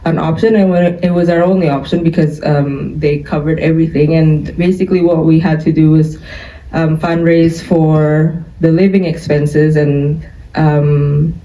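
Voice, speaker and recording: A young woman tells her story in a chatty way, laughing often between thoughts. Her voice is mellow and dark-toned. She sounds set back from the mic in a roomy studio, with a dull, muffled audio quality. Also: low voice, little pitch movement, moderate pace, far from the mic. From Indonesia. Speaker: filmmaker guest. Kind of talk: interview storytelling.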